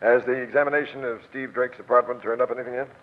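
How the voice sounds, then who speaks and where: Actor as a brusque police captain on tinny old radio. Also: male voice, medium pitch, fairly even intonation, fast, close to an everyday voice. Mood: businesslike. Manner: gruff, commanding, slangy, sarcastic. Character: brusque, skeptical, sly male police captain. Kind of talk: 1940s radio crime drama acting. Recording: tinny, band-limited old radio broadcast.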